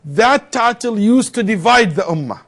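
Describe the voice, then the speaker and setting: Animated high-voiced male lecturer. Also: moderate pace. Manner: animated, asks the class rhetorical questions; joking asides with students. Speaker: lecturer teaching theology. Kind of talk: classroom lecture. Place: classroom.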